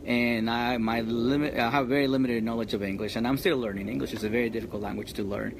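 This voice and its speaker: A middle-aged man from Colombia, a high school teacher, chatting earnestly outdoors with frequent restarts. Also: steady tone, fast talker, background noise. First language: Spanish. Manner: earnest, talks in restarts and repeats. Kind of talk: casual interview chat. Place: outdoors under a tree.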